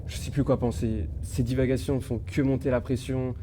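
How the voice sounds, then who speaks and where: Mellow-voiced, uneasy male space engineer played by a man. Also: medium pitch, level tone, fairly quick, slight background noise, natural voice. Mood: anxious, confiding. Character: male ship engineer, anxious officer under mounting strain. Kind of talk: amateur audio drama, recorded log.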